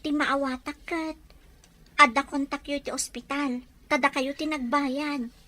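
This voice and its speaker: Audio drama casting: a woman as inquisitive, respectful young maid, bright and high-pitched. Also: steady tone, measured pace, no room sound. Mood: puzzled, questioning. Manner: polite, questioning. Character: respectful, inquisitive young housemaid, devoted daughter.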